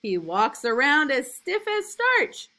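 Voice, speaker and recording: A woman reading a rhyming storybook as an eager, imaginative little boy, in an emphatic sing-song with drawn-out line endings. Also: high voice, wildly swooping pitch, bright, forceful tone, voice pushed up, full character voice. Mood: eager, excited. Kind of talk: rhyming storybook read aloud.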